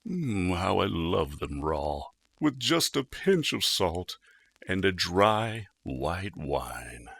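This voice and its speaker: A sly, hungry storybook fox, pitched far above a normal male register. The voice is creaky and swings up and down, stretching out words in a whiny, theatrical way. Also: very animated, takes it slowly, a bit bright, no room sound, heavily acted. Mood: dreamy, greedy.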